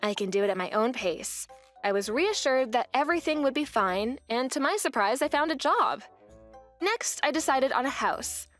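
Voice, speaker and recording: A young woman narrates her own story for a manga dub in an earnest, weary way. Her voice sits high and moves in lively swings. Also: moderate pace, soft background music. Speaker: narrator and wife.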